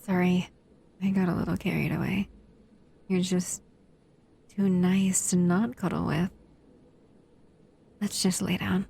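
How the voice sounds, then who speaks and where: A woman's voice: lowish voice, steady tone, unhurried, gravelly, long pauses, warm tone, slightly rough, full character voice. A woman voicing a captive female shadow monster who soothes and cuddles the listener in a close, intimate audio roleplay. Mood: tender, soothing. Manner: soothing, intimate, often whispering.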